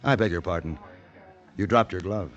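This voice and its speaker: A man playing a suave, self-assured gentleman gunfighter in a 1950s radio western, with a smooth, courtly, dry delivery. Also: sits low, moderate pace, muffled recording. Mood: courtly, flirtatious. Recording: vintage radio drama recording.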